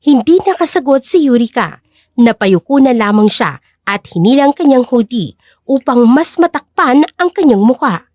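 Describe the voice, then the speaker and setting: A woman's voice: high-pitched, sing-song, moderate pace, a little mellow, dry recording, studio quality. A woman narrating a dramatic scripted story in Tagalog.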